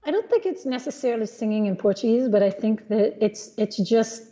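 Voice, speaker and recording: A middle-aged woman who sings jazz talks about her craft in a relaxed, thoughtful way, often pausing and restarting her sentences. Her voice is mellow and warm rather than bright. The recording is a little dull and lo-fi. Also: on the high side. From Los Angeles, USA. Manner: thoughtful, many restarts and fillers. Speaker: jazz singer, guest. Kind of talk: casual conversation.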